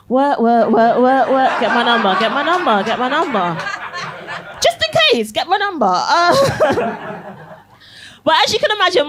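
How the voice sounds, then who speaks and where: A woman's voice: high-pitched, fairly bright tone. A young woman from Barbados performing a cheeky stand-up set in a comedy club, teasing the crowd and laughing through her lines. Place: comedy club, live audience.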